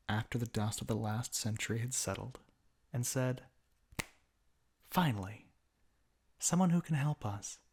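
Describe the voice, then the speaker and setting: A man playing a bitter, mocking villain in an ASMR roleplay monologue, speaking theatrically with sardonic asides and often dropping toward a whisper. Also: medium pitch. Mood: bitter, accusing. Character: bitter, mocking male villain revolutionary monologuing at a fallen hero. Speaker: voice actor in an audio roleplay.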